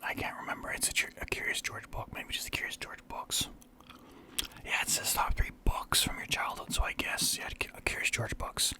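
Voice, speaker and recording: A man's voice: moderate pace, bright, forceful tone. A young man from Canada whispering through a casual, rambling list of favorites as a vlogger. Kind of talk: whispered ASMR list chat.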